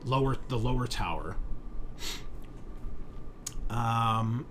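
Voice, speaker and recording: A man's voice: medium pitch, very slow, fairly bright tone, a little hiss or hum. A man narrating a sci-fi horror tabletop game as game master in a casual, descriptive, explanatory way.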